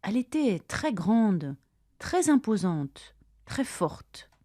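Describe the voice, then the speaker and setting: A woman reads her half of a scripted practice dialogue, slowly and with deliberate care so learners can follow. Her tone is warm and mellow, and the recording is dry and close, with no room sound. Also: medium pitch, sing-song, no room sound. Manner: careful, deliberate delivery for learners. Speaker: language-lesson dialogue reader. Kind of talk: slow scripted dialogue, each line read twice.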